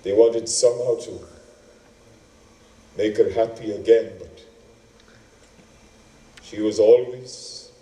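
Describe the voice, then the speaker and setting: A man tells a scripted tale as a stage actor would. His delivery is very slow, measured and theatrical, in a mellow tone, heard from a little distance in an echoey room. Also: lowish voice, fairly even intonation. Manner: theatrical, measured, with long pauses. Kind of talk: dramatic scripted storytelling. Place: indoor stage performance.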